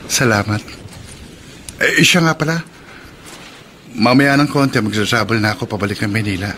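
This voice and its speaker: Radio drama leading man: young, Filipino, soft-hearted and melancholy, lower pitch, clear background noise. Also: normal speed. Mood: wistful. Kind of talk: Tagalog radio drama acting. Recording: noisy background behind the voice.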